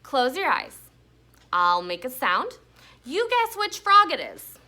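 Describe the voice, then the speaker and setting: A woman's voice: sits high, huge pitch swings, takes it slowly, bright, forceful tone, heavily acted. A woman voicing a bossy, playful big sister in a children's storybook read-aloud, instructing and encouraging her little brother. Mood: eager, instructing.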